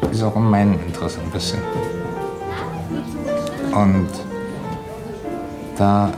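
A man, a theatre actor, talking reflectively in a halting, deliberate way. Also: on the low side, steady tone. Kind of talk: reflective interview talk. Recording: music playing behind the voice. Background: music.

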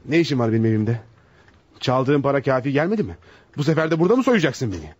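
Fast-talking indignant doctor, husky voice. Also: male voice, mid-range voice, very animated, no room sound. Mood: outraged. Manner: scornful, emphatic, formal diction. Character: indignant, wounded male psychologist, educated and lovestruck. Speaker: radio drama actor. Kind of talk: Turkish radio theater acting. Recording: dull lo-fi radio recording.

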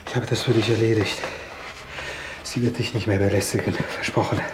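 A man's voice: lowish voice, little pitch movement, fairly quick, very noisy, lightly acted. An actor in a radio drama playing a domineering, threatening, possessive policeman. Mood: insistent.

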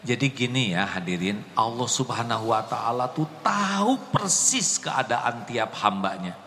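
A man delivering a sermon, unhurried and measured in his phrasing. His voice carries a long hall reverb with faint background noise. He swings from soft, gentle explanation to impassioned, high exclamation. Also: expressive. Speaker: preacher.

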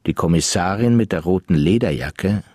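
An actor as the sober narrator of a crime radio drama, reading scripted prose. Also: male voice, low voice, lively intonation, moderate pace, mellow, dry recording, clean studio sound.

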